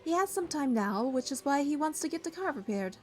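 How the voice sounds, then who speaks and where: An earnest young heroine, higher-pitched. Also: female voice, lively intonation, brisk, a little mellow, faint music behind. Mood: curious. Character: young female heroine, earnest, caring and curious. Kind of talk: first-person narration and dialogue in a romance game.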